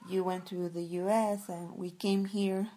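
A woman's voice: mid-range voice, flat delivery, unhurried, mellow, a little hiss or hum. A woman from El Salvador, a guest speaking reflectively and searching thoughtfully for her words. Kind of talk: reflective conversation.

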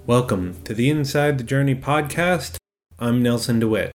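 A man's voice: medium pitch, lively intonation, moderate pace, soft background music. Friendly man hosting a podcast. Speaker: podcast host. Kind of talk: podcast interviewing.

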